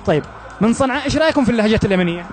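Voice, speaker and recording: A man with a Yemeni accent interviewing passersby in the street with brisk, upbeat questions. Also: high voice, animated, over music. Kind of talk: street vox pop interviews.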